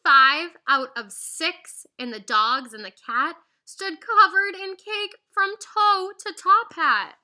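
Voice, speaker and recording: Bright, high-voiced woman reading a picture book. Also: slow, hardly any pauses. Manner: animated, singsong rhyming delivery with teacherly asides. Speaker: read-aloud storyteller. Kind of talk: expressive children's picture book read-aloud.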